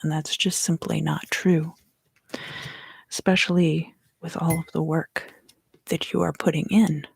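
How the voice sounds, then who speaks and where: A woman in her thirties gives an ASMR tarot reading in hushed, unhurried, deliberate phrases, close to the microphone. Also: deep voice, little pitch movement, vocal fry, slightly rough, no echo. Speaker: tarot reader and ASMR host. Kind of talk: ASMR tarot reading, whispered and softly spoken.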